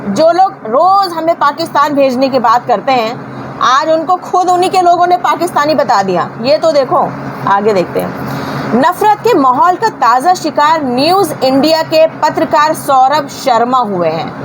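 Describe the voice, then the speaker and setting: A woman's voice: high-pitched, expressive, a little slow. A woman giving informal, opinionated news commentary in an indignant, scolding manner, with emphatic rhetorical questions. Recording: clearly noisy background.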